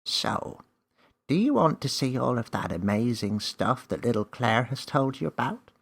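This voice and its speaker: A man's voice: mid-range voice, expressive, moderate pace, vocal fry, breathy edge, voice pushed up. A man voicing a tiny, cheeky elf in a children's story, friendly and bossy, with a teasing, theatrical manner. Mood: eager.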